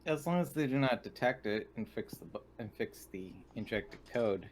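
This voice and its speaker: Male roleplayer, mid pitch, formal and precise in-character lines, slight ambient noise. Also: lively intonation, moderate pace. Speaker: player in a tabletop RPG. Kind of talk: in-character game talk, describing actions.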